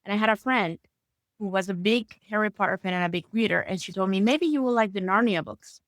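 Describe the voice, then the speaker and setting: A woman's voice: medium pitch, fairly quick, dry recording. Venezuelan woman in her 30s, spanish is her first language, chatty storyteller, dry mic. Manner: friendly, storytelling, uneven pace. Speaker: guest author. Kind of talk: casual interview chat.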